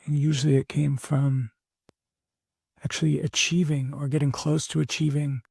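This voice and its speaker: A young man losing his voice, talking candidly and haltingly in a reflective personal monologue as a podcast host. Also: on the high side, level tone, creaky, a little mellow, breathy edge, no room sound, studio quality. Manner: halting, candid, many fillers and restarts.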